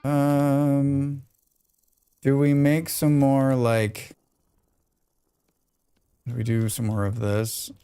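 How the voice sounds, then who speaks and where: A man's voice: mid-range voice, little pitch movement, very slow, pauses often, mellow, clean tone, no echo. A man with a plain American accent chatting casually on a live game stream, rambling and talking back to his chat. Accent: General American. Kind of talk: casual chat while gaming.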